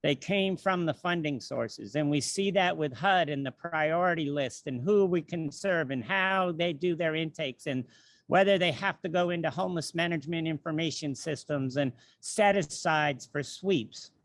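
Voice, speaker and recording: An older man with a slightly high voice speaks as an impassioned advocate on a panel. He talks off the cuff in an emphatic, exasperated way, and the sound is dry with no room around it. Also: moderate pace, no room sound. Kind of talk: impassioned unscripted advocacy talk.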